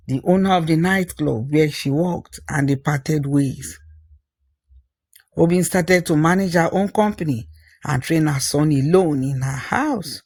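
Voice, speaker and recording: A storyteller reads a scripted folktale in a husky voice of medium pitch. The phrasing is deliberate, with repetition for emphasis. The sound is dry, with no room around it. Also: a little mellow, no echo.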